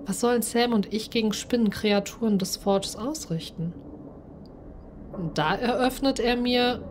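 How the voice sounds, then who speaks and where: A woman narrating a first-person story in an expressive, dramatised way. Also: mid-range voice, a little hiss or hum. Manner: expressive, acts out the character's speech. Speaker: narrator and player of a solo role-playing game.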